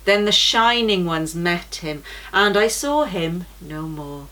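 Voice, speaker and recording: A woman reading a children's storybook aloud in a gentle, measured way. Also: lowish voice, lively intonation, rough recording.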